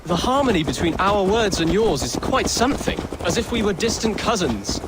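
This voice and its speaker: A man's voice: high-pitched, lively intonation, moderate pace, very noisy. An actor playing an earnest, curious young English nobleman in a video game, polite and well-spoken with an English accent. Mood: earnest, fascinated. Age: young adult. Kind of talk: video game dramatic acting. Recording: loud clattering outdoor game ambience.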